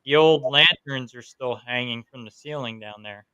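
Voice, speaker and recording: A man's voice: mid-range voice, moderate pace, pushed and bright, smooth, highs cut off. A man from New York hosting a podcast, telling stories from investigations in a casual interview chat. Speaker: podcast host.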